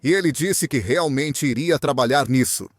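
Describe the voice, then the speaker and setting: A young man from Venezuela, a guest in an interview, telling his story in an earnest, animated way. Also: medium pitch, moderate pace, no echo, studio quality, soft background music. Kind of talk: interview answers, personal story.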